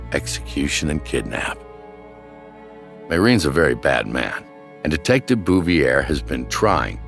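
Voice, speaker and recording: Really deep gravelly guy telling a crime story, suspenseful, music underneath. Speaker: narrator of a true-crime series. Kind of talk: dramatic scripted documentary narration. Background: background music.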